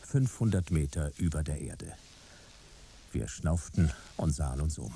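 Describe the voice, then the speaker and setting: A man's voice: rumbling low, animated, a little slow, warm tone, a little hiss or hum, heavily acted. A man playing a laconic, tough private detective in a sci-fi radio drama, with a dry, terse manner. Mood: terse narrating. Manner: dry, terse, hard-boiled. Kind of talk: radio drama dialogue and first-person narration.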